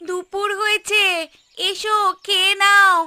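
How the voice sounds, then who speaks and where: High-pitched nagging cartoon housewife played by a woman. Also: little pitch movement, slow, bright, forceful tone, slight background noise, heavily acted. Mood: caring. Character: scolding, sharp-tongued Bengali mother and housewife. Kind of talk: cartoon voice acting.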